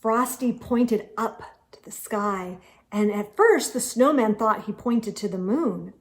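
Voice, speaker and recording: Slow, high-voiced woman reading a storybook. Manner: deliberate phrasing with dramatic emphasis. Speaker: children's storyteller. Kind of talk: expressive storybook read-aloud.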